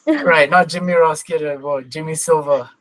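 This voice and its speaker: A man with a fairly high voice hosts a casual interview. He phrases his questions loosely and informally, and the sound is very dry, with no room around it. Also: steady tone, normal speed, a bit bright, no room sound. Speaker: podcast host, interviewer.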